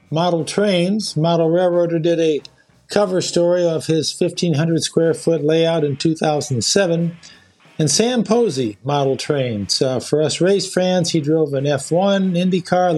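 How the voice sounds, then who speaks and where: Fairly high-voiced man reading a list. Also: darkish tone. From Illinois. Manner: reads out a list, matter-of-fact. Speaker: listener leaving a voicemail. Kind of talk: recorded voice message.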